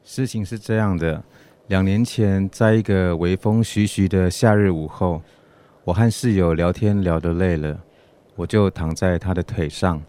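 A man's voice: low voice, normal speed, dark tone, faint music behind, lightly acted. A man acting out an anxious listener's account in a radio drama. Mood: worried recounting. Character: anxious man recounting a worry to a doctor. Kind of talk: radio drama letter reading.

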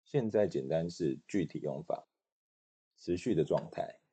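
A man narrating a language lesson, calmly explaining rules and translating example sentences. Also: very deep voice, measured pace, slightly rough. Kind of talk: instructional narration.

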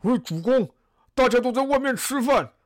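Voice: medium pitch, lively intonation, moderate pace, slight background noise, close to an everyday voice. A voice actor playing Xu Chu, a loyal, cheerful, burly bodyguard who coaxes his lord in a sing-song way. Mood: cheerful coaxing. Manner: eager, coaxing, sing-song. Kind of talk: audio drama acting.

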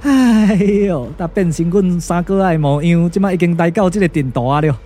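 A man's voice: high voice, normal speed, warm tone, natural voice. A man voicing a cowardly comic impostor, muttering and whining nervously in a Taiwanese puppet radio drama. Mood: nervous, puzzled. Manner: muttering, chattery, whiny. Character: cowardly comic male impostor disguised as a strategist.

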